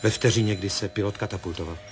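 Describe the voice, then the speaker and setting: A reserved military investigator, played by a man, with a distinctly low voice. He reports to his superior in a terse, matter-of-fact way, in a 1970s radio drama. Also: fairly even intonation, soft background music, lightly acted. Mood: cautious reporting. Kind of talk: radio play acting.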